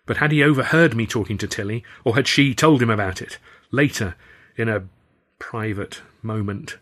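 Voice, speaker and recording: A man's voice: lowish voice, normal speed, a bit bright. A man narrating his own novel as a scripted audiobook. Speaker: author reading own novel.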